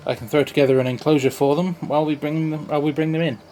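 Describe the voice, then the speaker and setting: A man's voice: fairly high pitch, steady tone, very fast, mellow, soft background music. A man giving casual gameplay commentary, thinking aloud and muttering to himself as he plays. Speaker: streamer playing a park-building game.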